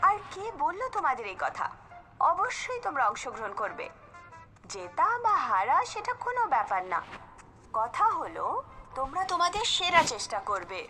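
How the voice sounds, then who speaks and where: A woman's voice: high voice, moderate pace, full character voice. A woman voicing a patient, encouraging mother who reassures her children and tells them a story. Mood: firm. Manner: gentle and reassuring, lively storybook telling. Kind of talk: cartoon dubbing and storybook narration. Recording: background music under the voice.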